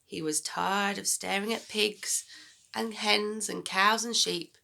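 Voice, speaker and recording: A woman reading a children's story aloud as a teacher, in expressive narration. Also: medium pitch, slow pace, vocal fry.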